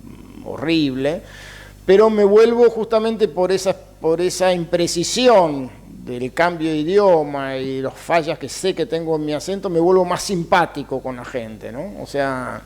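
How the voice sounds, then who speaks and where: Argentine man, interview guest, higher-pitched, halting and reflective, small laughs. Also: expressive. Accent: Argentine Spanish. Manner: hesitant, many fillers, soft chuckles. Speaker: interview guest, writer and mathematics teacher.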